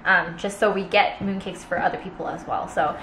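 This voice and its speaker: A young woman chatting casually in a food vlog, unboxing gifts on her sofa and reading aloud haltingly while laughing at herself. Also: lowish voice, steady tone, fairly bright tone, echoey room, slight background noise. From Canada. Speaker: food vlogger. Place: living room, seated on a sofa.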